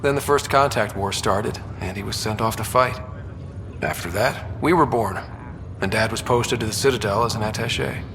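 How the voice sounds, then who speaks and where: A man's voice: on the low side, normal speed, lightly acted. A man playing a wry, wistful young soldier in a sci-fi video game, speaking in a reflective, conversational way. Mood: reminiscing. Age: young adult. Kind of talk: naturalistic video game dialogue acting. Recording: reverberant room, loud ambient hum behind.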